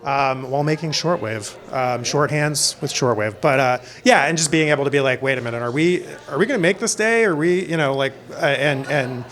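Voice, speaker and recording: A man's voice: mid-range voice, brisk, hardly any pauses, fairly bright tone. A middle-aged American man from Florida, a film director talking casually and telling personal stories as an interview guest. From Florida, United States. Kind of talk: casual panel talk, personal storytelling.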